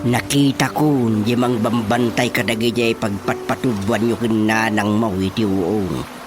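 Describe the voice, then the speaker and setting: A man playing an excitable old father in an Ilocano radio comedy-drama, animated and exclamatory, with an Ilocano accent. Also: on the low side, unhurried, natural voice. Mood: earnest, secretive. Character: excitable old Filipino father telling of little mushroom guardians. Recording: music bed behind the voice.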